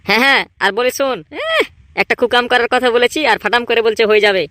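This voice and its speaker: Man voicing a high, rapid-fire bossy gang leader. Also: bright, forceful tone, no room sound, studio quality. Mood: bossy, scolding. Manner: scolding, emphatic, repetitive. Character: bossy, scheming, irritable male village gang boss. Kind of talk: exaggerated comedy cartoon dialogue.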